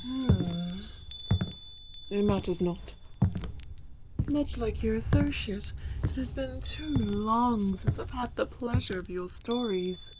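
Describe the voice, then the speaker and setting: A woman's voice: a little high, slow pace, long pauses, background noise. A woman playing a mysterious, brooding collector of stories and trinkets in an audio drama, musing theatrically in formal, archaic language. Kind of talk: scripted audio drama monologue.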